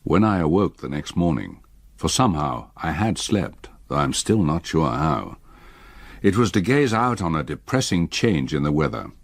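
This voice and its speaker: An actor playing an uneasy, educated solicitor in a gothic radio drama, with measured, articulate, old-fashioned speech. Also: male voice, extremely low pitch, very animated, creaky, no echo, studio quality, exaggerated voice. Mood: subdued, reflective. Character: uneasy, educated male solicitor recounting a haunting.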